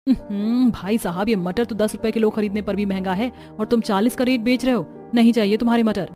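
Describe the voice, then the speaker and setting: A woman voicing a sharp-tongued, thrifty young village wife in a cartoon story, indignant and scolding. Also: mid-range voice, steady tone, brisk, lightly acted. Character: feisty, thrifty poor village daughters-in-law, sharp-tongued women. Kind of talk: Hindi cartoon story acting. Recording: music behind the voice.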